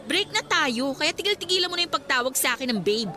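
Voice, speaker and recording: A woman's voice: sits high, lively intonation, fast talker, bright, forceful tone. A woman playing a young college student in a Tagalog radio drama, firm and exasperated with her ex. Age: young adult. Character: young female college student, fed up with controlling ex.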